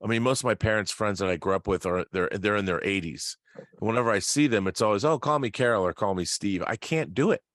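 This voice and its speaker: A man's voice: on the low side, fast, fairly bright tone, no room sound. A middle-aged man hosting a casual, opinionated chat, talking emphatically and often stammering over repeated words. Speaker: talk show host.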